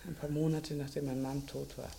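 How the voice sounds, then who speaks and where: An actress playing a composed, wistful widow, gently candid, in a radio crime drama. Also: female voice, a bass voice, little pitch movement, brisk, gravelly, slight background noise. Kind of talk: German radio play acting.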